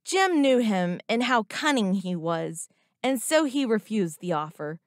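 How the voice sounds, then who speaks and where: A woman reading a scripted moral story for children in a deliberate, expressive storytelling manner. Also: mid-range voice, a little hiss or hum. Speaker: narrator.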